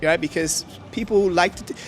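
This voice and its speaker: A man's voice: high-pitched, normal speed, a little hiss or hum. A man whose first language is Hebrew, explaining history emphatically in an interview outdoors. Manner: emphatic, lecturing, with many "right?" checks. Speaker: interview guest.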